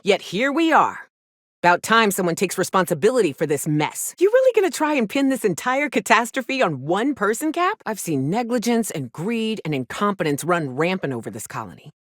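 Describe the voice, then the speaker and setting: A woman's voice: mid-range voice, sing-song, fairly quick, dry recording, clean studio sound. An actress playing a jaded, hard-drinking frontier mercenary woman in a video game, blunt, sardonic and defiant, with salty phrasing. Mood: angry, then bitter. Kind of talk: video game character dialogue.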